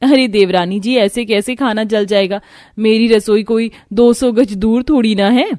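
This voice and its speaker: Woman as harried high-voiced poor housewife. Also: a little slow, a little mellow, no room sound, studio quality, lightly acted. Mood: dismissive. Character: poor elder daughter-in-law, harried, grumbling housewife. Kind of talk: cartoon family story dialogue. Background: background music.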